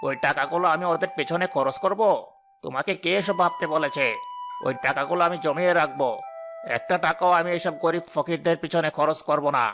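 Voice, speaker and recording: A man's voice: on the high side, fairly even intonation, a little fast, close to an everyday voice. A man voicing a callous, greedy village headman in a cartoon, dismissive, scolding and self-important. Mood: irritated, scolding. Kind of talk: cartoon voice acting. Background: faint music.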